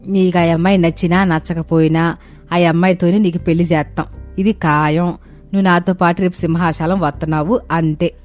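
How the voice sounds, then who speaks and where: A woman's voice: lowish voice, fairly even intonation, fairly quick, a little mellow, natural voice. A woman playing a middle-aged mother who is firm and insistent with her son. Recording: background music under voice.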